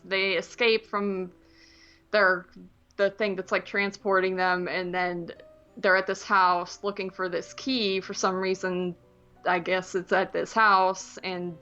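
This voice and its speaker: Bright-voiced woman, dull lo-fi sound. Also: medium pitch. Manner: informal, rambling, frequent "like". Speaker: movie podcast co-host. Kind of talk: casual chat reviewing films.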